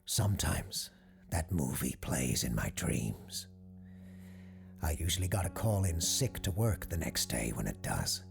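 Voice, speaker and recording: A man's voice: a bass voice, very animated, unhurried, creaky, faint music behind, exaggerated voice. A man voicing a troubled, plain-spoken working man giving weary, defensive testimony in an audio drama. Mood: weary, haunted. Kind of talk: quoted testimony within audio drama narration.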